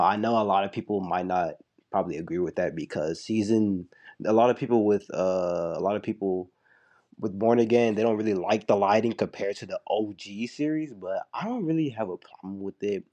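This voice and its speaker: Young guy, deep low voice, kinda sick, rambling casual review talk. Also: normal speed, darkish tone. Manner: loose and chatty, full of "like" and restarts. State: a little sick. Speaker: show reviewer.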